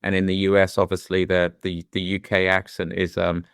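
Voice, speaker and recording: A man's voice: low-pitched, completely flat delivery, a little fast, clear, resonant tone, no echo, studio quality. A middle-aged man with a British accent who grew up in London, speaking as an interview guest in a candid, reflective way and often restarting his sentences. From London, England. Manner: thoughtful, with frequent restarts and "you know"s.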